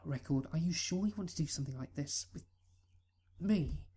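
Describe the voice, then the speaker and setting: A man's voice: on the high side, moderate pace, mellow, breathy edge, voice pushed up. Man doing a shy stammering young stallion. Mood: tentative. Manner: hesitant, stammering. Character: shy young male pony, a nervous cellist.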